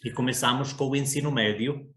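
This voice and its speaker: A middle-aged man from Portugal with a European Portuguese accent, speaking as a podcast guest in a measured, reflective way. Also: medium pitch, fairly even intonation, fairly bright tone. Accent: European Portuguese, Coimbra. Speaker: guest, school coordinator. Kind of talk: conversational podcast interview. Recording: roomy echo.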